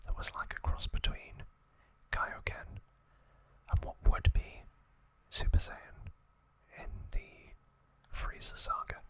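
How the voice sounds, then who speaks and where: A man hosting ASMR, giving a hushed, slow recap in short phrases in a breathy, unvoiced whisper. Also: no room sound, poor audio. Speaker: ASMR host.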